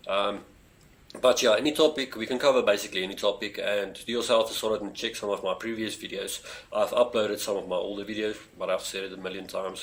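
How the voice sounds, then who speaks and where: A man's voice: deep voice, creaky, a bit bright, slight background noise. A man from South Africa with a South African accent, whose first language is Afrikaans, chatting casually on his own live stream with lots of fillers and eager appeals to viewers. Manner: rambling, many fillers, eager pleas to viewers. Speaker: space channel streamer. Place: home near a street.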